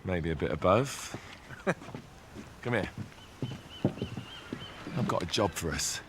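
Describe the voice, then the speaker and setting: A man's voice: low voice, expressive, slow pace, noisy background, heavily acted. A man playing a roguish British treasure thief and schemer in a cinematic video game, with a breezy, persuasive, cheeky delivery.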